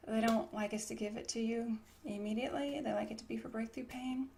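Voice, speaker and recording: A woman's voice: fairly high pitch, fairly even intonation, brisk, vocal fry, noisy background. A woman doing an ASMR medical roleplay as a nurse, explaining each step in a casual, reassuring bedside way. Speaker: ASMR creator playing a trauma nurse.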